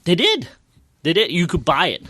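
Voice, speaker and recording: Enthusiastic man with higher voice, rambling. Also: huge pitch swings, moderate pace, bright, forceful tone, no echo. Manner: enthusiastic, rambling, repeats words and phrases. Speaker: podcast co-host. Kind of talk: casual chat, recounting an experience.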